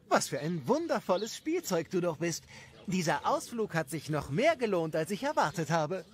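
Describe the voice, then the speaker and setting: Man acting a charming, scheming count, lively and swooping. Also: sits high, normal speed. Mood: smug, delighted. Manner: courtly, effusive, flattering. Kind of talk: dramatized audio story.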